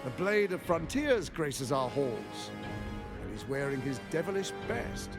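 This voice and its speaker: An actor playing a flamboyant, charming courtly herald in a video game, with a florid, flattering manner. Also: male voice, fairly high pitch, a little slow. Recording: music behind the voice.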